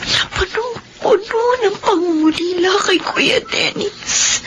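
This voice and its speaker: High-voiced, expressive Filipina younger sister. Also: female voice, noisy background, full character voice. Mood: grieving, tearful. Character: younger sister, tender and emotional, devoted to family. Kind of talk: Filipino radio drama acting.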